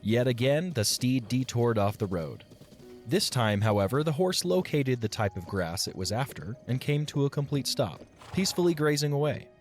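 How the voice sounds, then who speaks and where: A man narrating an audio drama in a measured, expressive storybook style. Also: mid-range voice, soft background music.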